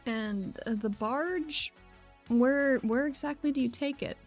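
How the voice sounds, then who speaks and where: A woman's voice: high voice, takes it slowly, soft background music. A woman playing a tabletop game, speaking in casual role-play and table chat with a polite, tentative manner.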